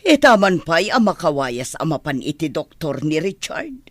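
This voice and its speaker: A man playing a fretful middle-aged mother, protective and animated, in a radio comedy drama. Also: a little high, normal speed, dry recording. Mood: worried.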